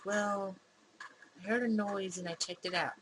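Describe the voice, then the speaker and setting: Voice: mid-range voice, fairly even intonation, measured pace, noisy background, close to an everyday voice. A game host voicing an anxious, pleading young man from a visual novel. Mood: rattled, explaining.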